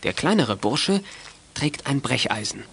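A man's voice: medium pitch, sing-song, measured pace, vocal fry, noisy background. A man narrating a children's audio drama as a scripted storyteller.

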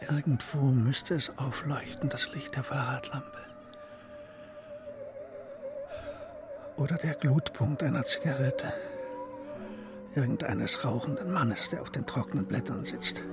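An actor playing a lonely, troubled man thinking aloud in a radio play, in halting fragments. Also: medium pitch, loud music behind the voice, lightly acted. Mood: anxious, brooding. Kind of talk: radio play interior monologue.

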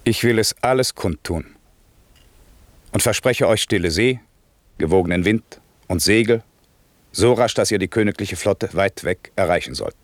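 A very low-voiced, aging duke and sorcerer played by a man, stately and authoritative. He delivers verse with measured dignity in classic radio drama style, recorded dry and close. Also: lively intonation, no room sound, lightly acted. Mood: gracious, solemn. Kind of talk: classic German radio play acting, verse.

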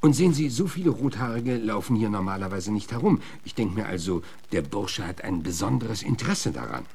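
A gruff frontier marshal in a radio play. His low voice is gravelly and swings widely in pitch as he talks in a halting, folksy, awkwardly polite way. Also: very animated, darkish tone, heavily acted. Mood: reassuring, confiding. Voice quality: gravelly, husky. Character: gruff rheumatic frontier marshal, male, plain-spoken, awkwardly courteous. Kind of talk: German radio drama acting.

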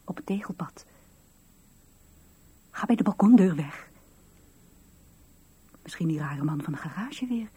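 Very breathy, emotional young wife. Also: female voice, lowish voice, expressive, unhurried, long pauses, warm tone, exaggerated voice. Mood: anxious, hushed. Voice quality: very breathy, husky. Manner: intense, emotional. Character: emotional young wife and mother in remembered scenes. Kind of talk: 1970s radio drama acting.

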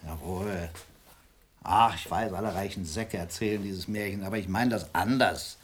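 A man's voice: low voice, moderate pace, a little hiss or hum, lightly acted. A man acting in a German radio crime play as a jovial, blustering factory owner of about fifty, colloquial and prone to chuckling. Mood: subdued, confiding. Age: middle-aged.

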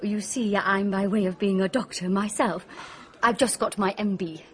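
An actress playing a bright, curious young British doctor in a radio drama, eager and confiding. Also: female voice, medium pitch, fairly even intonation, normal speed, lightly acted. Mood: friendly, eager. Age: young adult.

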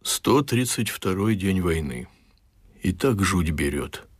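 An old historian reading his wartime diary in a very deep, husky voice. He reads gravely and slowly. The sound is dry. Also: very animated, no room sound, heavily acted.

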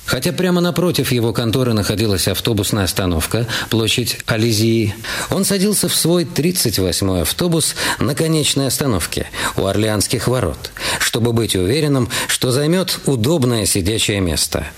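A man's voice: mid-range voice, very animated, normal speed. A man reading scripted, dramatised narration for a detective audio drama. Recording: music behind the voice. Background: music.

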